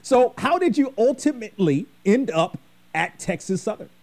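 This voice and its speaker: A man's voice: sits high, very animated, moderate pace, a little mellow. A man hosting a radio interview show, emphatic and enthusiastic as he asks his questions. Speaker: radio host.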